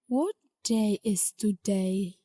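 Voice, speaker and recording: A woman's voice: mid-range voice, drawn-out words, mellow, breathy edge, no echo. A woman playing an earnest, impulsive female character in an audio drama, thinking aloud and questioning herself. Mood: pondering. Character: Miriam, an earnest, impulsive female letter reader.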